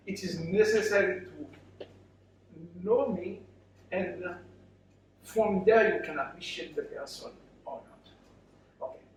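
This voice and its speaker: Male guest speaker, Haitian accent, high voice, unhurried and halting, telling his own story to a room. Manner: halting, short broken phrases. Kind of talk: personal story told to an audience. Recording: very echoey, distant microphone, faint noise.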